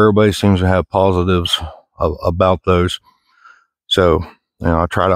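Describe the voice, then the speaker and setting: A man's voice: deep voice, dry recording, clean studio sound. A middle-aged man with an American accent chatting casually while walking outdoors in the woods, in a folksy, rambling, plain-spoken way. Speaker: outdoor vlogger. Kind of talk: casual walk-and-talk chat.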